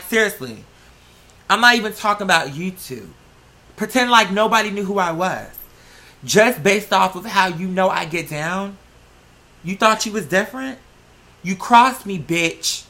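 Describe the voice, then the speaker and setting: A man's voice: sits high, bright, forceful tone. A man telling personal stories in a casual, slangy rant, with a sassy, confrontational and emphatic manner.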